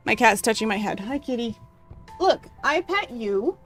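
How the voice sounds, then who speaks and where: A woman's voice: on the high side, very animated, moderate pace, fairly bright tone, faint music behind. A woman streamer who reads a visual novel's narration aloud and chats casually with viewers, with lots of fillers. Her voice is going from so much reading, and she coughs now and then. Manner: casual, rambling, heavy on fillers.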